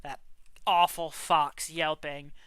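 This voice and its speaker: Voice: mid-range voice, little pitch movement, takes it slowly, a bit bright, smooth, no echo. A game master narrating a tabletop game casually and improvising descriptions.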